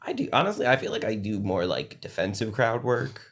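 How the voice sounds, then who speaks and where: Low-pitched man telling stories casually. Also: big pitch swings, brisk. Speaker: stand-up comedian, podcast guest. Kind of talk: casual chat, anecdotal.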